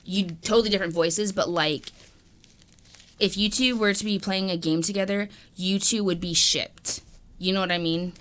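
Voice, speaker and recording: A woman's voice: mid-range voice, steady tone, normal speed, a bit bright. A young woman co-hosting a podcast, rambling in casual chat with lots of fillers and swearing. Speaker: podcast co-host.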